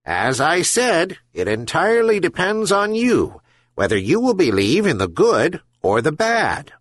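A man voicing a wise talking herring gull in a storybook, speaking slowly and solemnly. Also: on the high side, huge pitch swings, husky, no room sound, clean studio sound, voice pushed up, heavily acted. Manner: slow, solemn counsel.

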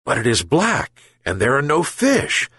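A man voicing a poor, weak old fisherman in a storybook, speaking gently and wearily. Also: fairly high pitch, wildly swooping pitch, a little slow, breathy edge, no echo, studio quality, pitched above the performer's own voice, exaggerated voice. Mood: weary. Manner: weary, gentle.

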